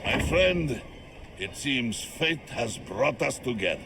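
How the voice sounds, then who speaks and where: A man's voice: mid-range voice, big pitch swings, slow pace, gravelly, noisy background, heavily acted. An actor playing an earnest, big-hearted heroic man in a video game, speaking in a grand, reassuring manner. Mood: determined. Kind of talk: video game character acting.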